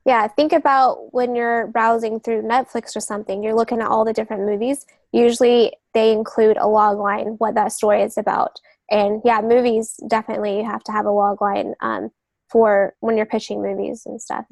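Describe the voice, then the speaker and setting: A woman's voice: on the high side, level tone, moderate pace, creaky, darkish tone, no room sound. A woman speaking as a guest on a writing podcast, explaining things in conversational interview talk. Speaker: guest novelist and literary agent.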